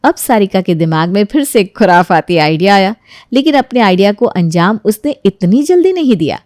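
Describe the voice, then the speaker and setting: A woman narrates a story from a script. Her pitch is mid-range for a woman, and the sound is very dry, with no room around the voice. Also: sing-song, normal speed, a little mellow, dry recording.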